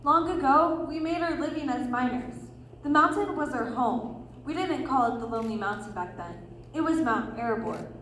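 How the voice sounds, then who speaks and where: A woman acts a wistful dwarf miner in readers theatre storytelling style. Her voice sits high and echoes in the room, with faint noise behind it. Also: lively intonation, moderate pace, a bit bright. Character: wistful dwarf miner recalling a lost home.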